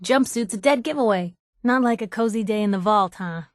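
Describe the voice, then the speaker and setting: A woman's voice: fairly high pitch, fairly quick, dry recording, clean studio sound, close to an everyday voice. An actress playing a plucky young woman newspaper reporter in a video game, with a wry, teasing, conversational way of talking. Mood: earnest, excited. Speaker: companion character voice actor.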